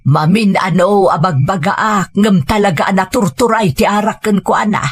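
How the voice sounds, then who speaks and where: A woman's voice: mid-range voice, normal speed, husky, lightly acted. A woman playing a reproachful older mother who lectures her lazy son in an audio drama. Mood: worried, exasperated. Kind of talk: Ilocano audio drama acting.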